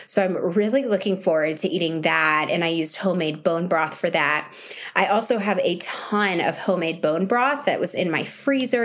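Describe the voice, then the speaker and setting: A woman in her thirties with a sore, scratchy voice talks straight to her viewers. Her pitch sits a little low, and she keeps things chatty and easygoing, like she's recording a diary at home while she's sick. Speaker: vlogger. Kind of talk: casual video diary.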